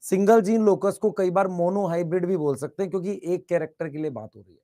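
A man teaching biology in an online class with a slightly hoarse throat, repeating his questions and urging students to answer quickly in the chat. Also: high voice, dark tone.

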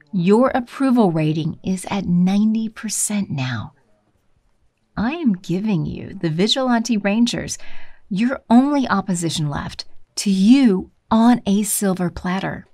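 A woman playing a scheming villain empress posing as a smooth businesswoman, smug and condescending, in an audio drama. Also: mid-range voice, dark tone, no echo, studio quality. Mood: smugly challenging.

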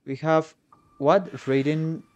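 A man's voice: on the high side, fairly even intonation, slow pace, a little mellow, a little hiss or hum. A young adult man giving casual reaction commentary as a musician, slangy, sweary and enthusiastic. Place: small indoor room. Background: faint music.

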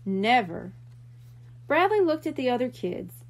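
A woman reading a children's mystery book aloud in expressive storytelling narration. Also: a little high, moderate pace.

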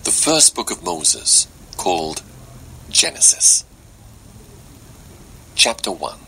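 A man giving a solemn, measured and dramatic scripture reading, played back through a small portable speaker. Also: low voice, very animated, creaky, pushed and bright. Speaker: audiobook narrator. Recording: small device speaker playback, noisy room.

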